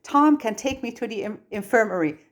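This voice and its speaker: A woman's voice: on the high side, lively intonation, brisk, pitched above the performer's own voice, lightly acted. Snooty teenage girl, spiteful, haughty, storybook character, acted by a woman. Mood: demanding. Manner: haughty, snobbish. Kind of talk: audiobook character reading.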